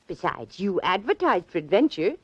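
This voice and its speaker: A woman's voice: lowish voice, very animated, moderate pace, fairly bright tone, breathy edge, no echo, full character voice. An actress playing a spirited, eccentric elderly small-town lady, prim, chatty and insistent, in a 1940s radio drama. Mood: scheming, insistent. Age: older. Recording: dull vintage 1940s radio recording.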